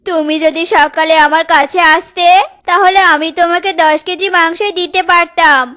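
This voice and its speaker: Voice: very high-pitched, fairly even intonation, normal speed. An actor voicing an eager cartoon eagle butcher, boastful yet secretly timid, with a vendor's hawking manner. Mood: obliging. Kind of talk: children's cartoon acting. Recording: loud music bed behind.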